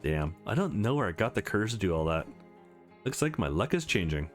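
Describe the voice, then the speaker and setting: A man's voice: fairly low pitch, very animated, brisk, soft background music, pitched below the performer's own voice. A man voicing an eager, slightly unsure young male protagonist of a visual novel game. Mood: upbeat, musing.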